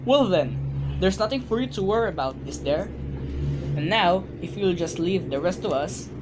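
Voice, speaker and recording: A woman's voice: fairly low pitch, animated, normal speed, natural voice. A woman playing a weary, dismissive police desk sergeant in an amateur radio drama, brusque and patronizing. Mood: impatient. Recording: faint background noise.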